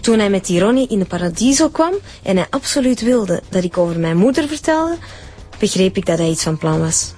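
An actress playing a bitter widow with a Flemish accent, confessing coldly in a radio drama. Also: female voice, mid-range voice, a little fast, breathy edge, soft background music. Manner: calm, cold confession. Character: Annie, bitter widow who killed her husband.

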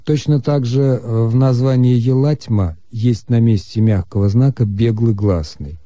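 Dark-voiced man explaining grammar on air. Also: medium pitch, no room sound, muffled recording. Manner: measured, lecturing. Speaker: language expert answering listeners. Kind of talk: explaining grammar on a radio call-in.

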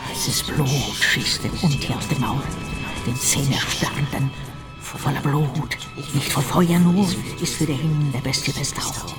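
An actor in a radio play as a grandiose storyteller with a pompous, declamatory delivery. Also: male voice, mid-range voice, unhurried, natural voice. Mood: grandiose, proud. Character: grandiose chorus storyteller, proud of the hero. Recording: loud music bed behind.

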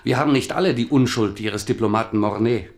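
Casting: king's sorrowful companion, low and gravelly, solemn poetic delivery, radio play. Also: fairly quick. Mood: bitter reproach. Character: king's old Huguenot companion, male, melancholy, reproachful poet. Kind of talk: German radio drama acting.

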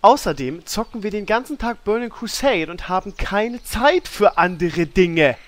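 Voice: mid-range voice, lively intonation, moderate pace, close to an everyday voice. A performer voicing a sheepish, evasive author figure in a fan audio drama. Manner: hesitant, evasive.

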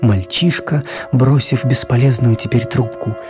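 An actor playing a storyteller, narrating a children's radio tale with measured dramatic pauses. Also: male voice, mid-range voice, fairly even intonation, lightly acted. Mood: dramatic narration. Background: music.

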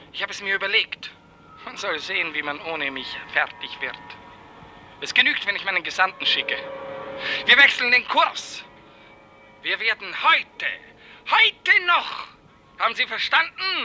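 An actor playing a pompous, capricious, commanding despot in a 1950s radio play. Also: male voice, sits high, very animated, a little slow, long pauses, sharp tone, faint music behind, full character voice, rough recording. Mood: imperious. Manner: imperious, haughty, commanding. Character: pompous, capricious male despot, a general director in a dream.

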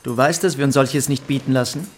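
An actor playing an aggressive, hot-tempered teenage schoolboy bully in a radio play, curt and commanding. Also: male voice, mid-range voice, fairly even intonation, brisk, slight background noise, lightly acted. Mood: stern, threatening. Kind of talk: German radio play acting.

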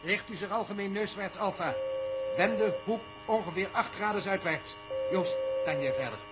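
An actor playing an anxious, exacting space navigator who speaks precisely in a 1960s Dutch radio drama. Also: male voice, high-pitched, moderate pace, lightly acted. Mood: tense reporting. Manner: precise, counting and reporting. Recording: noisy, humming 1960s radio recording.

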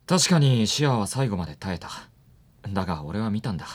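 Low, boyish anime teen. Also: female voice, sing-song, brisk, a little mellow, husky, heavily acted. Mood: firm, calm. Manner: boyish, dry, blunt retorts. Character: wry, exasperated, good-natured teenage anime boy. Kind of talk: anime radio drama acting.

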